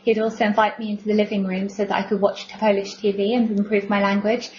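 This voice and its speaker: A woman recounts a personal story in a flat, even tone. Her pitch barely moves as she goes on. The sound is dull and lo-fi, with a light hiss. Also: fast talker. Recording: muffled lo-fi recording with faint hiss.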